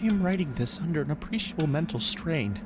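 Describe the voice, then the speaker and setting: A man reading gothic horror as a despairing, doomed sailor, with a grave, measured, anguished delivery. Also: a little high, animated, noisy background, natural voice. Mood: anguished, desperate. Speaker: story narrator.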